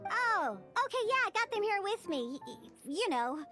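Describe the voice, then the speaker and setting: A woman voicing an excitable, easily flustered young female card-game tutor in an anime-style video game, with expressive stammers and exclamations. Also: very high voice, normal speed, soft background music, exaggerated voice. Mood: hesitant, sheepish.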